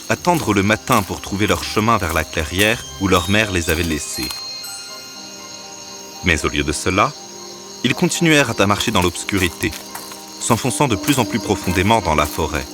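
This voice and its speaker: A man's voice: deep voice, lively intonation, moderate pace. A man narrating a scripted fairy tale as a storyteller. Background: background music.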